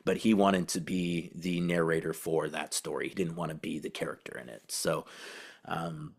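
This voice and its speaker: A man hosting a team podcast, speaking casually and haltingly as he thinks aloud in a tribute to a colleague. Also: very deep voice, fairly even intonation, creaky, slightly rough. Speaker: podcast host and team beat reporter.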